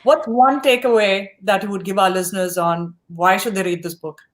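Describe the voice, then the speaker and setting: A woman hosts a podcast interview, moving between questions and lavish praise for her guest. Her voice is at a middle pitch for a woman, and she talks in a friendly, encouraging way. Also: steady tone, moderate pace, a little hiss or hum. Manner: warm, effusive praise. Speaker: podcast host. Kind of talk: interview hosting.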